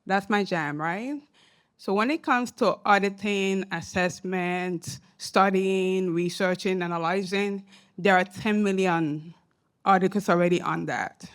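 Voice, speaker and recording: A woman with an African accent speaks on a symposium panel in a mid-pitched voice. She is emphatic and animated, and she punctuates her points with rhetorical questions. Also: unhurried. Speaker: panelist, academic and social entrepreneur. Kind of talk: panel discussion remarks.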